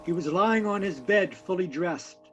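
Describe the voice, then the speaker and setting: A man's voice: high voice, lively intonation, normal speed, faint music behind. A man narrating an audio drama in formal, literary, dramatic storytelling phrases.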